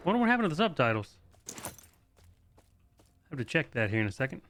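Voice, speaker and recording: Bright-voiced man doing casual game commentary. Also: mid-range voice, very animated, a little fast, a little hiss or hum. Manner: informal, matter-of-fact. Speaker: video game guide host. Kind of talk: casual walkthrough commentary, reading quest dialogue aloud.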